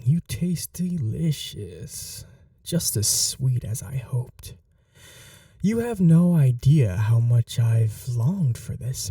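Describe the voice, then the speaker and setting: Male actor as ancient seductive vampire master, sadistic and possessive, hushed ASMR roleplay, extremely mellow dark tone, unhurried drawn-out delivery, formal endearments. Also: medium pitch, dry recording, studio quality, distant mic, full character voice. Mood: gloating, sensual. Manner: slow, hushed, dominant, formal endearments, often whispering. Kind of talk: intimate ASMR roleplay, scripted monologue.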